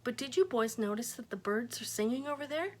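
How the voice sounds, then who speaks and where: A kind, gentle man with a guide dog, as a woman reading a storybook puts him on. He speaks patiently and warmly to children. It stays close to the reader's own voice. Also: on the high side, expressive, brisk.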